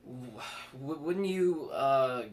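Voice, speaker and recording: A man's voice: medium pitch, drawn-out words, pitched above the performer's own voice. A man voicing a nervous, awkward, eager young cinema worker in a horror story, stuttering and hesitant. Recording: clear background noise.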